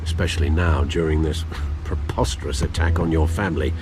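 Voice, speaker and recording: An actor playing an elderly, concerned guardian in a video game, formal and gently scolding. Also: male voice, a bass voice, steady tone, fairly quick, music in the background. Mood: reproving, worried. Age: older. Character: concerned, reproving elderly male guardian and butler.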